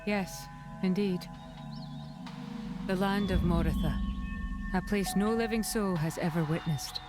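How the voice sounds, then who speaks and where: An actress voices a dignified woman officer for a game cutscene. She speaks slowly and formally, composed and courteous, under loud orchestral music. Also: fairly low pitch, natural voice. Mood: grave, composed. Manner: formal, measured. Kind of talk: dramatic video game cutscene acting. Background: game soundtrack music.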